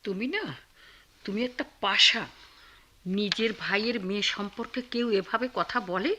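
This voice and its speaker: A woman's voice: mid-range voice, unhurried, pushed and bright, a little hiss or hum. An actress playing a compassionate, outspoken middle-aged wife in a radio drama, reproachful and indignant with her husband.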